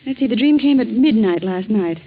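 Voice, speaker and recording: A woman's voice: on the high side, fairly quick. A woman playing a perky, teasing grown daughter in a flippant 1940s radio drama style. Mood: excited. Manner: lively, flippant. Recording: dull 1940s radio recording.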